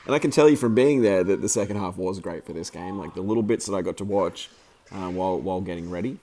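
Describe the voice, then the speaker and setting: A man with a very deep voice, still croaky from the flu, talks casually over his own hockey video. He rambles and restarts his sentences as he goes, and there is a faint hiss behind him. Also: quick pace, mellow. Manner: rambling, chatty, many fillers and restarts. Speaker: amateur hockey commentator and video maker.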